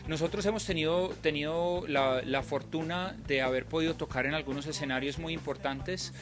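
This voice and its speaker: A man's voice: fairly high pitch, moderate pace, a bit bright, faint music behind. A young man born in Scotland, a native English speaker, answering interview questions in a hesitant way, with many fillers and restarts. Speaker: band member.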